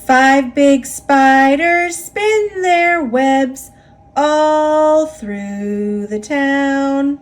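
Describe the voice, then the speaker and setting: High-pitched American woman, slow storybook reader. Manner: expressive, deliberate storytelling with rhythmic repetition. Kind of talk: read-aloud children's story narration, partly sing-song.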